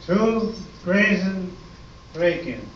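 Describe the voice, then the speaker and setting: A man's voice: sits high, lively intonation, very slow, exaggerated voice. A man playing a stiff police officer questioning witnesses in an amateur radio play, recorded from afar in an echoey room. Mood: stiff, official. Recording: echoey, distant, muffled room recording, faint noise.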